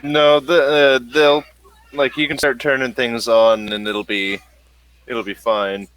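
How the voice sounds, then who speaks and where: Guy running a tabletop game, slow and bright sounding, lots of ums. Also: medium pitch, level tone, no echo, poor audio. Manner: halting, many ums and restarts. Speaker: game master.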